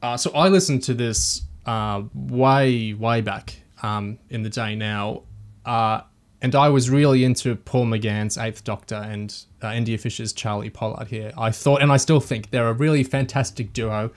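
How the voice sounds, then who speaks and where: A man's voice: medium pitch, a little slow. A man hosting a podcast, talking through a review discussion with enthusiasm.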